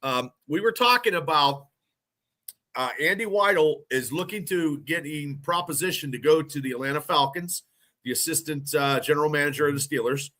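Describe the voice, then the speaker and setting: A man's voice: a little high, normal speed, bright, forceful tone. A congested, under-the-weather man hosting a casual sports podcast, rambling with frequent restarts. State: congested, sick. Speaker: podcast host.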